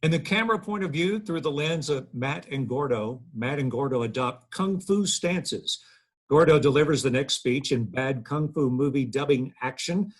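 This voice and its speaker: A man's voice: mid-range voice, normal speed, husky. A man reading out stage directions as scripted narration at a table read over video call.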